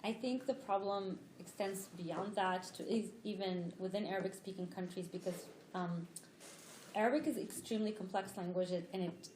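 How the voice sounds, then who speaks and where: A woman's voice: medium pitch, steady tone, moderate pace, darkish tone. Woman panelist in an echoey room. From Tunisia. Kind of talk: panel discussion answer. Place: lecture room. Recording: echoey lecture room, faint noise.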